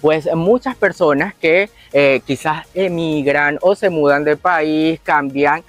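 Guy co-hosting, kinda high voice, lots of ehs when he ad-libs, light music under. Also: takes it slowly, no room sound. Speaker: show co-host. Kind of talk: reading segment, casual chat.

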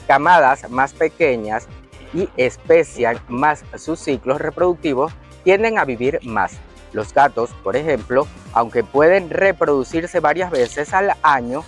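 A man co-hosting a show, reading a segment and chatting with hesitant fillers when speaking freely. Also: on the high side. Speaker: show co-host. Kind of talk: reading segment, casual chat.

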